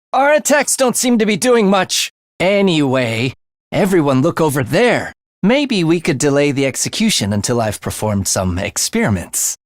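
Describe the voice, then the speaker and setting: Wildly expressive, morbid young assassin. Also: male voice, on the high side, no room sound, clean studio sound. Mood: curious, puzzled, then bloodthirsty glee. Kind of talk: English video game voice acting.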